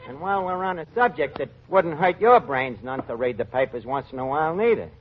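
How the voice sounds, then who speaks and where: Male actor as sarcastic New tavern manager, highish animated voice, mangled grammar, vintage radio comedy. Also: a little fast, a crowd in the background, background noise, close to an everyday voice. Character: fast-talking, malapropism-prone wisecracking male tavern manager. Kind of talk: 1940s radio comedy acting. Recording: dull vintage radio recording.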